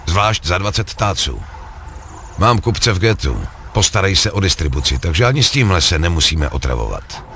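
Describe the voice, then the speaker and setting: An actor playing a cool, ruthless gangster in a video game, talking in coarse, persuasive slang. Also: male voice, low-pitched, sing-song, sharp tone, a little hiss or hum, heavily acted. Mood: cool, dismissive. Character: scheming male gangster pitching a drug deal, cool and ruthless. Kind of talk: acted video game dialogue.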